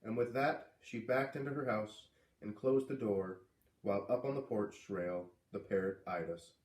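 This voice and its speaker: A man reading a children's book aloud, expressively, with dramatic pauses on key words. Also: lowish voice, darkish tone. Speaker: read-aloud reader. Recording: echoey room, distant microphone.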